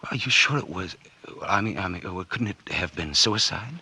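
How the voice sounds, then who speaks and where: A man's voice: deep voice, expressive, normal speed, bright, forceful tone, breathy edge, heavily acted. An actor playing a cold-blooded, scheming businessman in 1940s radio noir style, wry, calculating and dramatic. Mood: feigned surprise. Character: scheming cold-blooded businessman narrating his crime, feigning innocence. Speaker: actor narrating and playing the lead. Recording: vintage 1940s radio recording with music.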